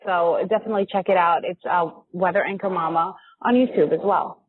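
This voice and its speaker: American woman on a phone line, low voice. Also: fairly even intonation, a little fast, a crowd in the background. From United States. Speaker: podcast guest. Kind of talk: casual interview chat.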